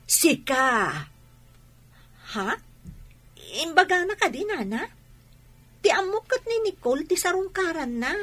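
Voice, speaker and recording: A woman's voice: high-pitched, a bit bright, heavily acted. A woman playing a talkative, nagging village mother in a Filipino radio comedy-drama, with a Filipino accent and an emphatic, questioning delivery.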